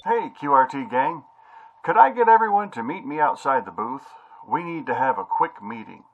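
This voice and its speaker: A man's voice: mid-range voice, animated, normal speed, narrow, tinny audio, slight background noise, natural voice. A man playing an older, orderly radio show boss in an audio comedy skit. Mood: businesslike. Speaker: show host.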